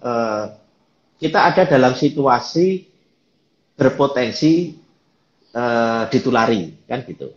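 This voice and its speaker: A man calmly explaining things as a guest in a live online chat, with many fillers. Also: mid-range voice, slow, long pauses, roomy sound, highs cut off. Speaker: guest speaker.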